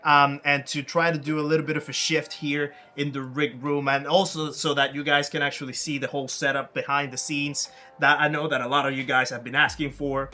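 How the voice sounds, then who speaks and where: Young guy live streaming sim racing, throat kinda scratchy, bright higher voice, answering chat. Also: level tone, fairly quick. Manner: chatty, reads and answers chat aloud.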